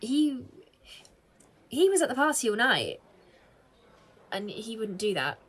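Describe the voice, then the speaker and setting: A woman playing an earnest female police detective in a naturalistic audio drama, speaking haltingly. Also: on the high side, sing-song, a bit bright, slightly rough, full character voice. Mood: shocked, disbelieving. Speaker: actor in an audio play.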